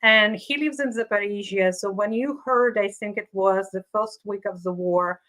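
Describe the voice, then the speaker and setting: Bright, earnest woman from Ukraine, Russian her first tongue, interview guest on a call. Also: mid-range voice, normal speed, rough recording. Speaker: guest, leadership coach. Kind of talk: conversational interview.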